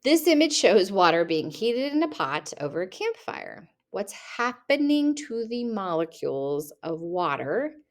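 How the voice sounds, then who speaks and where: A woman's voice: medium pitch, very animated, measured pace, few pauses. Woman, teacher, young adult, reads questions aloud, rephrases with small jokes, screen-recording mic. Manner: reads each question, then rephrases it playfully. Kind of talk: reading a test aloud to students.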